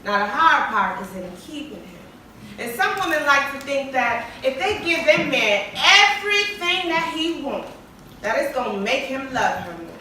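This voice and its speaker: A woman's voice: high-pitched, very animated, normal speed, pushed and bright, exaggerated voice. A woman acting in a stage play as a sassy, outspoken group member, talking in an emphatic, folksy way. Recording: very echoey hall from far off, faint noise.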